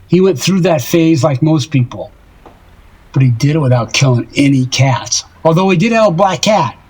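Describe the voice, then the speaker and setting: An actor playing a lovesick, self-deprecating older married man in an audio drama, rambling wistfully and haltingly in a husky voice. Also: on the high side, lively intonation, a little mellow, low-quality recording.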